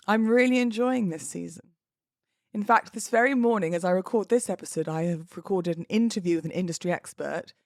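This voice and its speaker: A woman's voice: mid-range voice, dry recording, studio quality. A British woman, likely in her thirties, hosting a casual solo podcast in a chatty, self-deprecating, playfully emphatic way. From United Kingdom. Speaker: podcast host; wedding celebrant.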